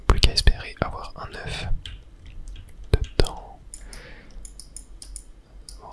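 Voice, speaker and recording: A person doing whispered ASMR let's play commentary, narrating their own play in a hushed, unhurried way close to the microphone. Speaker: gaming ASMR creator and host.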